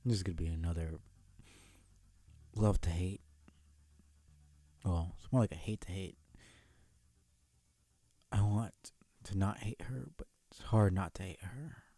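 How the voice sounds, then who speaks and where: Laid back guy streaming, lowish gravelly voice, mumbles and swears, real casual. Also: measured pace, pauses often, dark tone, no echo. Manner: muttering, laid-back, profane. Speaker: livestream host. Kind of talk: casual livestream chat.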